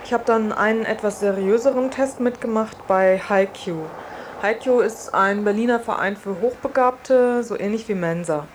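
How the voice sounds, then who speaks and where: A woman's voice: mid-range voice, moderate pace. A woman reporter giving an informal first-person account of her own experiences. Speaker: reporter and test participant. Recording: faint background ambience and noise.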